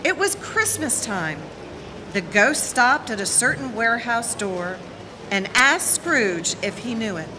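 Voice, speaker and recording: A woman's voice: on the high side, sing-song, a little slow. A woman narrating a scripted dramatic reading as a storyteller. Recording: reverberant hall, faint noise.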